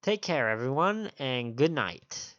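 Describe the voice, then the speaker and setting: A man's voice: mid-range voice, big pitch swings, measured pace, a bit bright. A man chatting casually as a livestream host, sniffly, with hesitant, rambling asides. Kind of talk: casual stream chat. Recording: dull lo-fi stream audio, faint music.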